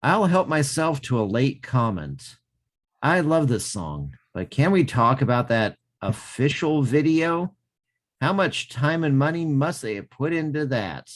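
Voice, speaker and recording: A man co-hosting a casual music podcast, reading a listener's comment with playful comic asides. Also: mid-range voice. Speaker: podcast co-host.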